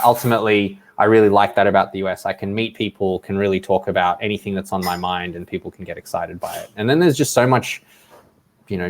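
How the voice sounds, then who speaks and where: Deep-voiced Australian man, chatty. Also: slight background noise. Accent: Australian, softened by years in the US. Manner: friendly and talkative, frequent ums and restarts. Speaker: guest. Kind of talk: casual interview answers.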